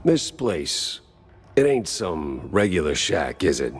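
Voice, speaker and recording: Low-voiced, hardboiled American noir detective. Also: male voice, slow pace, slightly rough, full character voice. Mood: groggy, wary. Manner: terse, deadpan noir delivery. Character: world-weary, wry hardboiled male mouse private detective. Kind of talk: 1930s noir detective game acting.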